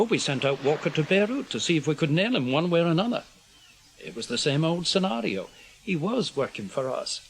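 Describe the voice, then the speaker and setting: An actor playing an older British intelligence officer in a radio drama, guarded and weary, speaking in measured, clipped phrases. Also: male voice, mid-range voice, slight background noise, low-quality recording. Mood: grave, matter-of-fact. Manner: measured, clipped, explanatory delivery in short phrases. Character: senior male British intelligence officer, guarded, weary, conscience-burdened spymaster.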